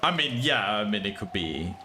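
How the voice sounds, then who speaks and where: A young man with a thick Italian accent, a non-native English speaker, chatting casually while streaming a game. He talks haltingly, stopping and restarting as he searches for words. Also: fairly low pitch, very animated, background noise.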